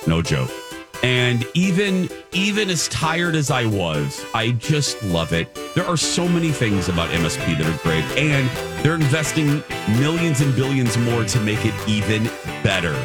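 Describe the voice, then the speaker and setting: Animated man chatting, very lively pitch. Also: loud music behind the voice. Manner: animated, effusive, halting and repeating himself. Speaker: radio morning show host. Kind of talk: casual chatty radio talk with personal anecdotes.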